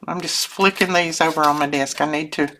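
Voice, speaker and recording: Low-voiced older woman chatting while crafting. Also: steady tone, brisk, fairly bright tone, a little hiss or hum. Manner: chatty, homey, self-interrupting, chuckles often. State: voice lowered from sinus trouble. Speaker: craft channel host. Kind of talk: casual crafting chat while working. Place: home craft desk.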